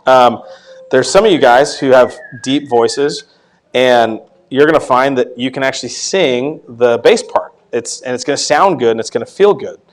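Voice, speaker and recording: Man, tenor voice, mid pitch, halting with restarts, casual Sunday school teacher. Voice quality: tenor voice, not deep. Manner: halting, many restarts and fillers. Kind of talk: casual church class teaching. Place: church classroom.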